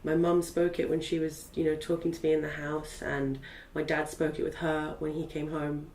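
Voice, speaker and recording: A woman's voice: deep voice, level tone, moderate pace, a little mellow, slight background noise. A young woman from Zimbabwe whose first language is Portuguese, chatting as an interviewee with lots of fillers. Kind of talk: casual interview. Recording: roomy sound from a distant mic.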